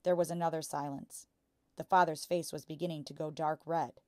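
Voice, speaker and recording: A woman's voice: low voice, steady tone, brisk, a little mellow, dry recording, clean studio sound. A woman reading a children's book aloud as a storytime narrator. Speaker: storytime reader.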